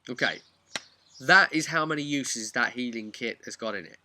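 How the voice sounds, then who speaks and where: Man, very bright forceful voice, mid pitch, chatty animated explainer, faint background noise with birdsong. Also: normal speed. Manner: animated, chatty, acts out imagined player remarks. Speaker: tabletop game master giving advice. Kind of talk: informal explainer talk on roleplaying game rules.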